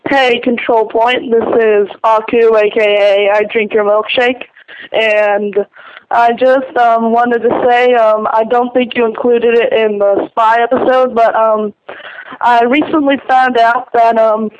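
Dull lo-fi voicemail, caller talking slow and really flat, hesitant, smooth voice. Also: medium pitch. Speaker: listener leaving a voicemail. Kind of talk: casual voicemail tip. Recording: dull lo-fi phone recording.

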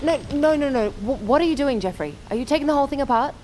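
A woman's voice: high-pitched, lively intonation, quick pace, very noisy. A woman playing an exasperated, practical survivor in a naturalistic audio drama, curt and dryly sarcastic. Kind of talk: naturalistic fiction podcast acting.

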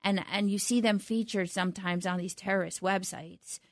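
Middle-aged woman, interview guest, reflective, halting restarts, dry close recording. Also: mid-range voice, steady tone, dry recording. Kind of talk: conversational interview.

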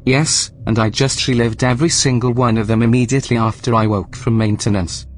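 A man's voice: medium pitch, steady tone, soft background music, close to an everyday voice. Man acting as a coolly suspicious station AI. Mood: calm suspicion. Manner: formal, measured, probing. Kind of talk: audio drama acting.

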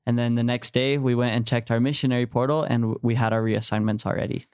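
A man's voice: mid-range voice, flat delivery, fast talker, smooth, dry recording, studio quality. A man in his early twenties telling personal stories as a guest in a podcast interview. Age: early 20s. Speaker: podcast guest, returned missionary.

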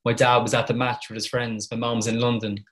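Young Irish guest with a Cork accent, rapid and flat-toned, casual and chatty. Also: male voice, medium pitch. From Ireland. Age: 20s. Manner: chatty, informal, peppered with "like". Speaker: guest journalist. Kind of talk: casual podcast chat.

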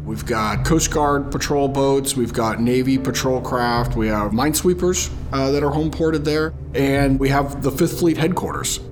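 A man's voice: medium pitch, unhurried, music in the background. A middle-aged man from Texas with an American accent tells his own navy story in a conversational, plain-spoken way, as an admiral on a podcast. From Texas, USA. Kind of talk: casual first-person storytelling for a podcast.

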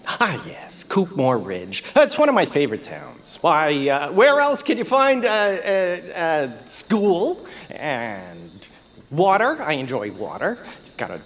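A man's voice: fairly high pitch, wildly swooping pitch, slow, exaggerated voice. An actor playing a fussy, washed-up male bird who once voiced a radio hero, talking in a rambling, flustered, theatrical way. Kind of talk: audio drama acting.

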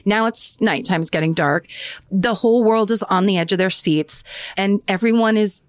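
A woman's voice: medium pitch, moderate pace, no echo, clean studio sound. Chatty woman podcast host telling a story. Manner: chatty, self-interrupting, laughs often. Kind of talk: casual true-crime storytelling.

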